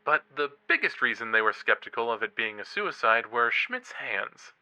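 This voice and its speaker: An actor playing an eager young college true-crime podcaster in a horror audio drama, a teasing, suspenseful storyteller. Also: male voice, medium pitch, sing-song, normal speed, bright, forceful tone, clean tone, poor audio. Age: young adult.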